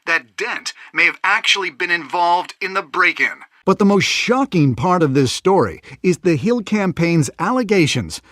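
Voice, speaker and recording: High, bright newsman. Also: expressive, normal speed, few pauses, no room sound, studio quality. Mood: urgent. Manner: crisp, formal newsreading. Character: brisk, urgent male TV news reporter. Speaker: TV news reporter. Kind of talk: scripted news report.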